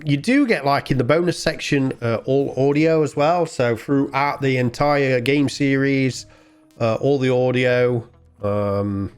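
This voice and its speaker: A man hosts a gaming video with slow, rambling commentary. His pitch is middling and he talks casually, halting as he goes. Music plays behind him.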